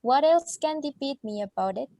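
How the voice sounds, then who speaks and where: A woman's voice: high voice, lively intonation, moderate pace, dark tone, no room sound, clean studio sound. A woman playing an exasperated young student in a radio play, declaiming in mock Shakespearean English. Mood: indignant, theatrical. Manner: declamatory, archaic phrasing.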